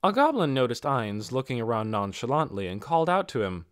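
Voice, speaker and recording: A man's voice: medium pitch, very animated, fairly quick, no room sound, clean studio sound. A man reading a fantasy novel as a scripted audiobook narration.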